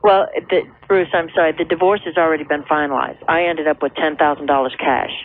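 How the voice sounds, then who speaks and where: A woman's voice: sits low, fairly even intonation, brisk. Thirties American female caller, conversational, earnest and hesitant, heard through a narrow, tinny telephone line on vintage radio. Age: 30s. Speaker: caller to a radio advice show. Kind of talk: conversational personal account. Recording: tinny phone line on old radio tape.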